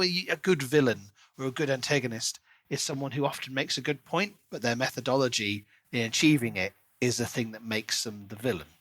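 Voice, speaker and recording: A man co-hosting a podcast, chatting casually and enthusiastically about a book in an expressive way. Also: mid-range voice, no echo. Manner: enthusiastic, expressive, acts out quoted lines. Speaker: podcast co-host.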